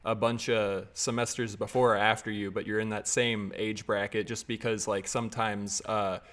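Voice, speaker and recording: A man's voice: fairly low pitch, flat delivery, moderate pace, fairly bright tone. A man in his twenties hosting a casual podcast chat over a video call, laughing often and tripping over his words. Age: 20s. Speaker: podcast host.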